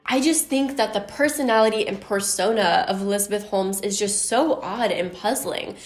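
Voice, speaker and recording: A chatty woman tells a story in a loose, casual way, her voice on the deeper side. She leans on "like" as she talks. The sound is roomy, with a clear echo around her. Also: normal speed, soft background music. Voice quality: somewhat deep voice. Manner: chatty, uses "like" often. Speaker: YouTube storyteller.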